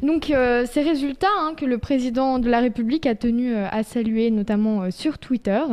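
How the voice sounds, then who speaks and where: Teen girl reading the news, kinda hesitant, soft mellow voice, raised pitch. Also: fairly even intonation, a little fast. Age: late teens. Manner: hesitant, with many fillers. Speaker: news presenter. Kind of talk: reading the news on a student radio show.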